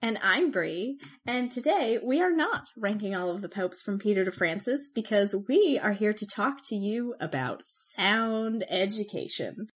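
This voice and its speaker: A woman co-hosting a podcast, telling stories in an animated, chatty way. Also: medium pitch, measured pace, hardly any pauses, breathy edge. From Canada. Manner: chatty, animated storytelling with laughs. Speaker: podcast co-host. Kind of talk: casual chat.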